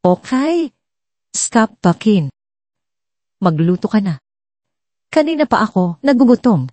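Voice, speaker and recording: A woman's voice: medium pitch, big pitch swings, takes it slowly, mellow, dry recording, pitched above the performer's own voice. Woman voicing a curt, commanding Filipino male boss. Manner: brusque, bossy, mixes English into orders. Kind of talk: storybook drama dialogue.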